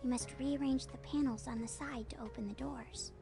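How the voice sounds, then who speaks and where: An actress voicing a quiet, earnest young woman in a video game, speaking softly in stilted, formal phrases. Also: sits high, fairly even intonation, moderate pace, gravelly, over music.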